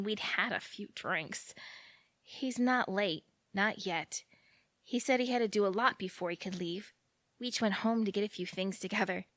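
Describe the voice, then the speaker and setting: A woman's voice: medium pitch, no echo, studio quality, lightly acted. A woman acting in audio theatre as an anxious, lovelorn young woman who rambles and questions herself fretfully.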